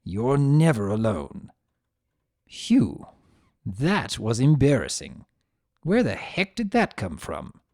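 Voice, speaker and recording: A man voicing a grumpy, possessive, secretly tender chaos creature who narrates his own story in a sardonic, expressive dramatic reading. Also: mid-range voice, takes it slowly, long pauses, dry recording, studio quality, full character voice. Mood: fierce, embarrassed. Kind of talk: first-person dramatic reading of fan fiction.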